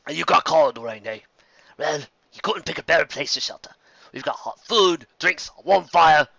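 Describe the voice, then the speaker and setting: A man voicing a fat old stallion bartender in a storybook, with a deep brassy, folksy, hospitable manner. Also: expressive, normal speed, gravelly, bright, forceful tone, no room sound, lo-fi sound, full character voice. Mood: busy, welcoming. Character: corpulent old stallion bartender, gruff but welcoming.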